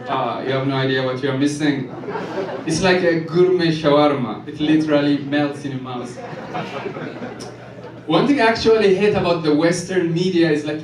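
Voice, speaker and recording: High-voiced Ethiopian-born man doing stand-up. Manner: deadpan delivery, laughing at his own jokes. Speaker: stand-up comedian. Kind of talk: stand-up set. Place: comedy club stage with live audience. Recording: echoey club room, from far off, loud noise.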